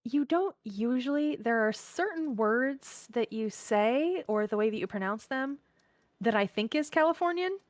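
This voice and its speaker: A woman's voice: a little high, animated, a little slow, no room sound, studio quality. A woman from southern Missouri with a mild Midwestern accent, chatting casually and laughing often while streaming a game.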